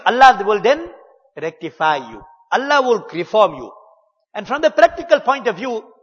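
Earnest preacher, high voice. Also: male voice, sing-song, fairly bright tone, slightly rough, in a large hall, muffled recording. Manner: earnest, measured delivery with Arabic and Urdu religious terms. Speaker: Islamic preacher. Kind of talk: religious talk.

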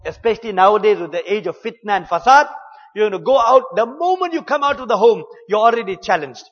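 A man giving an earnest, measured religious talk, mixing in Arabic and Urdu religious terms. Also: high voice, animated, fairly bright tone, big-room sound, lo-fi sound. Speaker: Islamic preacher.

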